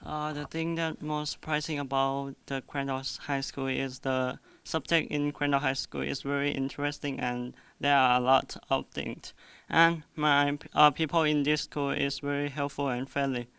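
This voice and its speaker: A young exchange student from Thailand, answering interview questions in hesitant, careful English. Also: male voice, on the high side, level tone, normal speed, vocal fry, bright, forceful tone, clean tone, no echo.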